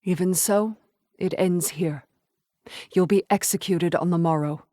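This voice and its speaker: An actress playing a stern, cold castle jailer in a dramatic video game. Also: female voice, lowish voice, measured pace, dark tone, no echo, studio quality, lightly acted.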